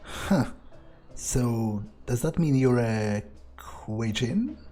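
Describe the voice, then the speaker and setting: A man roleplaying a hesitant, curious vampire in a video game, musing aloud in a halting, wary way. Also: mid-range voice, animated, dark tone, faint music behind. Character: hesitant, curious male vampire, wary yet polite loner. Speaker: let's player voicing own character. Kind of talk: in-character improvised game roleplay.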